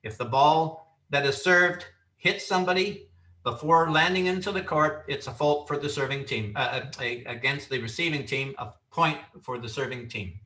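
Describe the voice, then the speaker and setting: A man teaching referee trainees in a loud, confident, instructive way, with casual asides and demonstrated calls. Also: a little high, moderate pace, echoey room, far from the mic, rough recording. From Canada. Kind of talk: training lecture.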